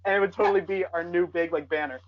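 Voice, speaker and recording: A woman's voice: fairly low pitch, fairly even intonation, quick pace. A woman chatting casually as a podcast co-host. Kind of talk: casual chat. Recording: dull lo-fi podcast audio.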